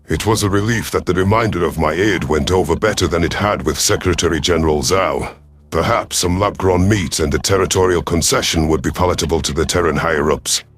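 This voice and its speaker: A man voicing a cold, calculating alien predator commander in a sci-fi audio story, imperious and menacing, with a rough husky voice. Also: deep voice. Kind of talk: dramatic first-person sci-fi audio story.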